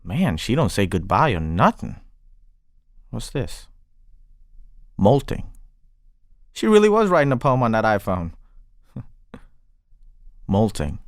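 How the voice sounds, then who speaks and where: Earnest young charmer, close and dry. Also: male voice, lowish voice, no room sound, heavily acted. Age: young adult.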